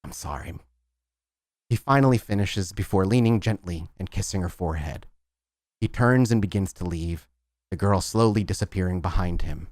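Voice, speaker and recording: A man's voice: deep voice, moderate pace, darkish tone, no room sound, studio quality. A man hosting and narrating a tabletop roleplaying game as storyteller, with a dramatic, descriptive manner.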